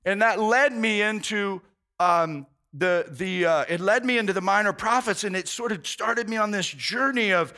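A man's voice: high-pitched, normal speed, bright, forceful tone. A male pastor preaching a conversational sermon, earnest and informal, often restarting phrases and saying "man".

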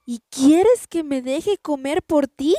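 A woman voicing a frightened, indignant runaway tortilla in a storybook reading, with a high, loud, exclaiming voice. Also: slow pace, no room sound, clean studio sound.

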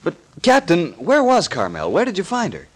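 An actor playing a curious, sympathetic onlooker in an old radio thriller. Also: male voice, sits high, wildly swooping pitch, normal speed, exaggerated voice. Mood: puzzled.

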